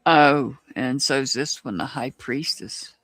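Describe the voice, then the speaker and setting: Slow, musing reader with a Southern US accent. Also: mid-range voice, a bit bright, dry recording. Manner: slow, musing, restarts often. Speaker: tarot reader. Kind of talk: live-stream tarot reading, answering chat.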